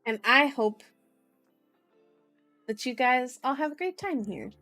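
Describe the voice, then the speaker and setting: A woman's voice: medium pitch, a bit bright. A woman hosting an ASMR stream, reading a book aloud and chatting to viewers in a playful, cutesy way.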